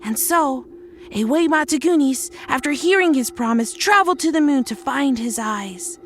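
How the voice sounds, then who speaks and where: A woman's voice: high-pitched, animated, moderate pace, a little hiss or hum. A woman voicing a cute, playful little woodland creature who tells stories earnestly in an audio drama. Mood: dramatic storytelling. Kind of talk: audio drama character acting.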